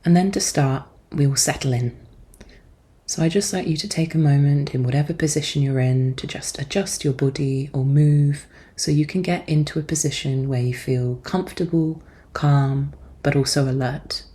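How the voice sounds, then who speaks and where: A woman therapist leading a guided meditation in a gentle, soothing and measured manner. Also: deep voice. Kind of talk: guided meditation, soft-spoken instruction.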